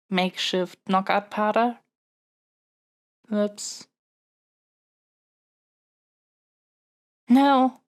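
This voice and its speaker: Pampered young royal heir, female performer, fantasy audio drama. Very unhurried delivery, mid-pitched, wordy and proper, earnest haughtiness with a flustered, pleading edge. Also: smooth. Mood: flustered defiance. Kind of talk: scripted solo audio roleplay.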